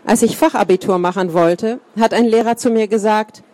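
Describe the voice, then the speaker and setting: A woman reads a first-person life account aloud at a calm, steady pace. Her voice sits at an ordinary middle pitch and sounds close and dry, with no room around it. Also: moderate pace, dry recording. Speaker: reader.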